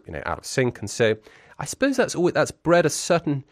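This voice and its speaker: A man's voice: on the high side, huge pitch swings, brisk, no echo. A man in his forties who grew up in Brussels, speaking as an interview guest. He talks in a reflective, articulate and wry way, full of restarts and fillers.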